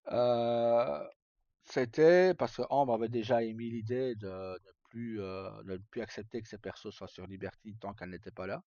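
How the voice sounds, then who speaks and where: A man's voice: mid-range voice, steady tone, moderate pace, dark tone. A man chatting casually to live viewers as a streamer, rambling and hesitant in colloquial speech with some swearing. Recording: dull lo-fi mic in echoey room.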